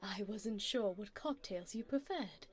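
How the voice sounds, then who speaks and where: A woman's voice: mid-range voice, measured pace, natural voice. A woman voicing a wealthy, doting older vampire sugar mommy in an ASMR romantic roleplay, coaxing and refined as she pampers her darling. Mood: suave, attentive.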